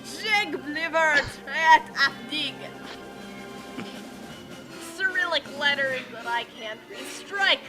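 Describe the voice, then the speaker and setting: High-pitched woman on an online call. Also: slow pace, pauses often, music in the background, low-quality recording. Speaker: host of a group dramatic fanfic reading. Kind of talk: theatrical reading mixed with casual chat.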